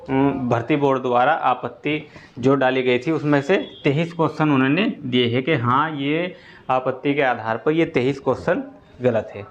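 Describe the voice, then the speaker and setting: Higher-pitched man explaining, dull audio. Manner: repetitive, step-by-step explaining. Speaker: exam-update presenter for students. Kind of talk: informal explanatory update.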